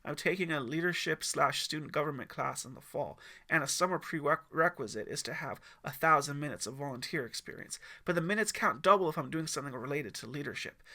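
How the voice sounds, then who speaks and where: Man acting a teenage boy scout, squeaky cracking voice, high-pitched, bright, flattering, earnest, audiobook story. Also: a little fast. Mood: eager, wheedling. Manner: earnest, stammering, wheedling.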